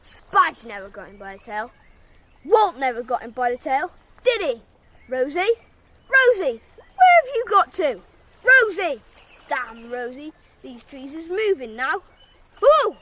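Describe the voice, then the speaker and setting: A high excitable farm boy, boastful and tipsy, swoopy voice, noisy outdoor sounds. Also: slow pace, full character voice. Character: boastful, tipsy English country lad. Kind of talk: radio drama acting. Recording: noisy outdoor ambience with animals.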